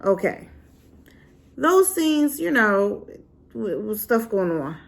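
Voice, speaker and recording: A divorced adult woman giving a sassy, animated casual review straight to her viewers. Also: medium pitch, slow pace, vocal fry. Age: adult, been married and divorced. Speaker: drama reviewer.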